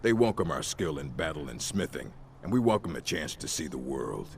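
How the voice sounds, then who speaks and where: An actor playing a gruff orc warrior in a video game, proud and dutiful, with a deep, gravelly voice and a blunt, formal way of speaking. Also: male voice, very animated, a little fast, a little hiss or hum, full character voice. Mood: reflective. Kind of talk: video game character dialogue. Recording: game music playing behind.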